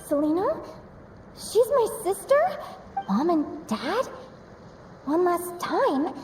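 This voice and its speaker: A woman playing a gentle young woman explorer in a video game, lost in anxious dreams. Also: very high-pitched, sing-song, slow, a little hiss or hum, exaggerated voice. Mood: bewildered, anxious. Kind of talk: video game story acting.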